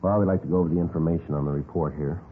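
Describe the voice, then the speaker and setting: Man as a fast-talking deadpan police detective, old radio. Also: low voice, close to an everyday voice. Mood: businesslike. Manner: deadpan, clipped, courteous. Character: polite, businesslike male police detective questioning calmly. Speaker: actor in a radio crime drama. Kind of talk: understated 1950s radio acting. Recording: tinny, noisy vintage radio recording.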